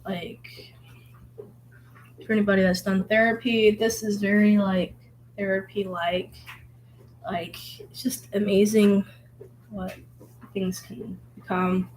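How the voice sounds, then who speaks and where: Hoarse vlogger woman, mid-pitched, loose rambling self-talk with lots of fillers, faint noise behind. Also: little pitch movement, takes it slowly, long pauses, a little mellow, rough recording. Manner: rambling, filler-heavy, musing. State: hoarse from illness. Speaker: vlogger painting. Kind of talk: casual reflective monologue.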